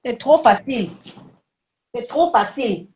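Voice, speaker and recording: An older woman with a high voice argues on a live stream. She stammers and repeats her phrases for emphasis. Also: animated, unhurried, a little mellow, roomy sound, muffled recording. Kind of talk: heated live stream argument.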